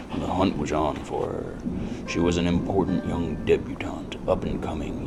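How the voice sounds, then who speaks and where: An American actor playing a world-weary, cynical, hard-drinking detective who narrates in a brooding, deadpan, gravelly noir style. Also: male voice, very deep voice, exaggerated voice. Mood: brooding, bitter. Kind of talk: scripted first-person hardboiled noir narration.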